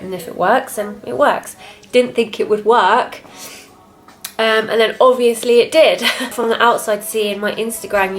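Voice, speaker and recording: A young woman with a British accent and a soft, quiet voice, chatting candidly and a little reservedly as a fitness vlogger. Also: a little high. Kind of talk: casual confessional chat to camera.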